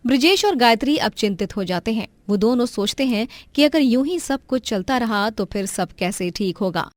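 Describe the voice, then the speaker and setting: A woman narrating a cartoon moral story in a clear, expressive way. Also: medium pitch, dry recording.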